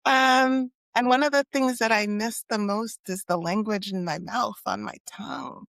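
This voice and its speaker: A woman whose first language was Haitian Creole, a psychologist speaking in a thoughtful, measured way. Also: medium pitch, gravelly, dry recording, studio quality. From United States (New York and Miami). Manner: thoughtful, measured, with soft fillers. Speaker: guest, clinical and community psychologist. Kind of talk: reflective conversational interview.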